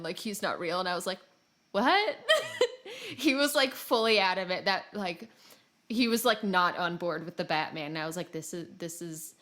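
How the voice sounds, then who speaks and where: A woman co-hosting a casual gaming podcast. Her voice sits at an average pitch, and she talks conversationally, with a giggly, self-deprecating streak as she recounts things to her co-host. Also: fairly bright tone.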